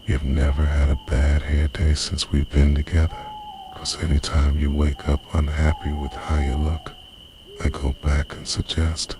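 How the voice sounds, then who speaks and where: A man playing an obsessive, devoted boyfriend in an intimate ASMR roleplay, speaking in a hushed, breathy, deliberate way that slips into whispers. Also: rumbling low. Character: obsessive, devoted time-travelling boyfriend, softly confessing. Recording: noisy night ambience with crickets.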